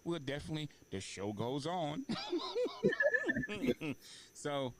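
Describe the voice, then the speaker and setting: A man's voice: on the high side, huge pitch swings, drawn-out words, crowd noise, low-quality recording. A man hosting a talk show, chatting in an unscripted way. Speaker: talk show host and stage actor.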